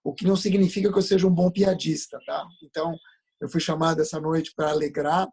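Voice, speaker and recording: A man with a husky voice, hosting an informal comic interview on a video call in a teasing, interrupting manner. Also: a little high, flat delivery, fairly quick, a little mellow.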